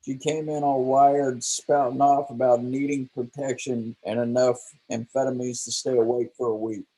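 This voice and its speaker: Backwoods kid dealer, played by a man: husky, relaxed, blunt and slangy, unimpressed, table-read comedy-drama. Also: mid-range voice, moderate pace, close to an everyday voice, poor audio. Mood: matter-of-fact. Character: Nettie, laid-back slangy young redneck drug dealer. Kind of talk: live script table read.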